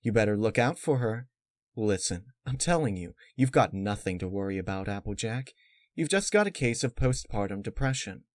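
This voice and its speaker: A man's voice: fairly low pitch, expressive, moderate pace, no room sound, studio quality, lightly acted. A man voicing an earnest, bookish young mare, a reassuring friend, in a story reading.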